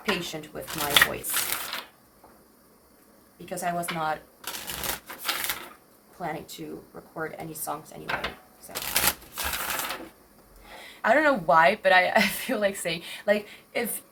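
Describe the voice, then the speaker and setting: A woman in her twenties doing a tarot reading, with a slightly raspy voice and a hesitant, rambling way of talking full of fillers. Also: fairly low pitch, slow, long pauses, heavy background noise. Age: 20s. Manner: hesitant, rambling, self-interrupting with many fillers. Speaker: tarot reader.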